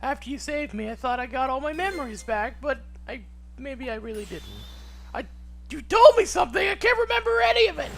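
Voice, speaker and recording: Woman acting a high, excitable brash lady detective. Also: a little fast, vocal fry. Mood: defensive, upset. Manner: emphatic, defensive, excitable. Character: brash, headstrong young female detective, highly strung.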